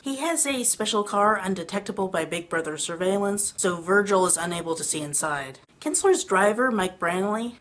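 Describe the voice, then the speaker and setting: A woman's voice: lowish voice, fairly bright tone. A woman reading a scripted, analytical review narration as a video game critic.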